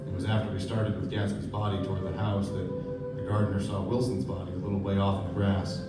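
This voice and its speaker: A male actor plays an earnest, sombre narrator in a stage radio play. The voice is fairly low and literary in delivery. It is heard from a distance with heavy echo and loud music behind. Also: flat delivery, quick pace, close to an everyday voice. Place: live stage performance.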